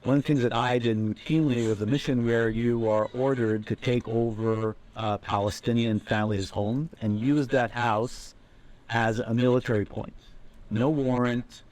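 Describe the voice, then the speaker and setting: A young man from Israel giving measured, reflective personal testimony about his time as a soldier. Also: mid-range voice, steady tone, a little mellow, slight background noise. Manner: measured, reflective storytelling with occasional "um". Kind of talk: personal testimony, clip from a talk.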